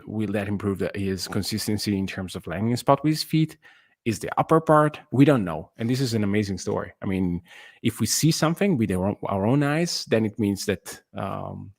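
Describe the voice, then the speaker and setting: A man co-hosting a sports podcast, giving casual basketball analysis in halting phrases with slightly non-native English grammar. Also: on the low side, vocal fry, no room sound, clean studio sound.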